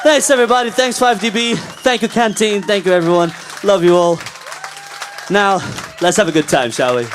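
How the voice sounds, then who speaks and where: Frontman, high voice, distant stage mic, crowd and noise behind. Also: normal speed. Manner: thanks the crowd warmly. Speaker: band frontman. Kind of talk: stage announcements. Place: live gig room.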